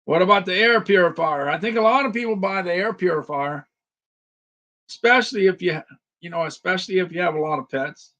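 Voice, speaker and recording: A man's voice: high-pitched, a little fast, sharp tone, dull audio. A man hosting a casual live stream about deals, repeating phrases and talking to viewers by name, his voice slightly worn. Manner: repetitive, chatty, talks to viewers by name. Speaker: livestream host reviewing deals. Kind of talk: casual live product chat.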